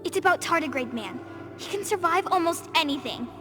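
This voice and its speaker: Very high-voiced, bossy little girl. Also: animated, brisk, music in the background. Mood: earnest, proud. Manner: earnest, precise, know-it-all. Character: bright, bossy, bookish little girl who loves bugs. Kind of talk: naturalistic video game acting.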